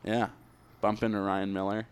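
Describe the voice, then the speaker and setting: A man's voice: on the low side, flat delivery, normal speed, clean tone, no echo. A man co-hosting a podcast in casual sports chat, talking conversationally and swearing freely. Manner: profane, conversational. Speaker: podcast co-host.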